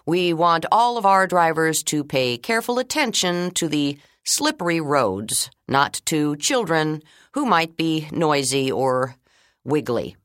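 A friendly, good-humored school principal giving the morning announcements, slow and measured, in a low voice that swings widely in pitch, as a woman reads him. The sound is close and dry, with no room around it. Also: very animated, a bit bright, dry recording, heavily acted. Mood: announcing. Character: kind, funny male school principal on intercom.

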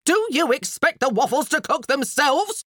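Shrill, hollering, demanding wife. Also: female voice, big pitch swings, moderate pace, pushed and bright, no echo, clean studio sound, heavily acted. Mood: demanding, irritated. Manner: screeching, hollering, imperious. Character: loud, demanding, bad-tempered wife bellowing for waffles. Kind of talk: audiobook character voice.

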